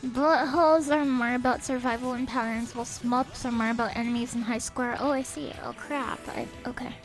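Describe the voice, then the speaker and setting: Young woman, high voice, sniffly, soft-spoken, casual game-stream chat, faint music behind. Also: fairly even intonation, brisk, rough recording. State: sniffly, a bit stuffed up.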